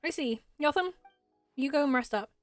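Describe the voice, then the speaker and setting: Woman as a steady, responsible big brother. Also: sits high, moderate pace, dry recording, faint music behind. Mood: calm, concerned. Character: calm, responsible big brother, young village man. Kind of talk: fan voice-over of game dialogue.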